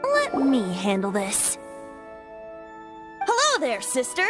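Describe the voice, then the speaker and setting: A woman's voice: sits high, huge pitch swings, takes it slowly, over loud music, exaggerated voice. A woman voicing a cheeky, boastful young male bard in a video game, playful and theatrical in delivery. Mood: confident, playful. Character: cheeky, boastful androgynous boy bard, secretly a god. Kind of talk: English video game voice acting.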